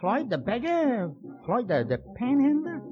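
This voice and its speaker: A man's voice: very high-pitched, huge pitch swings, unhurried, music in the background, heavily acted. An actor voicing a slangy male Broadway informer who stammers and repeats himself in a radio crime drama. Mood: eager storytelling. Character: slangy, fast-talking male Broadway informer, touchy and ingratiating. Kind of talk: 1950s radio crime acting. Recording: muffled old radio recording.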